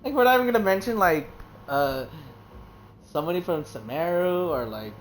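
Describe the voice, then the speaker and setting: A woman's voice: on the low side, expressive, normal speed, slight background noise. A woman streaming a video game, rambling in casual commentary, swearing and laughing often.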